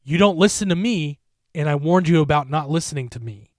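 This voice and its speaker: A man's voice: fairly high pitch, no echo, studio quality, voice pushed up, natural voice. A taunting eternal monster, read by a man. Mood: menacing. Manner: slow, threatening, mocking. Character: menacing eternal monster, red-eyed, taunting.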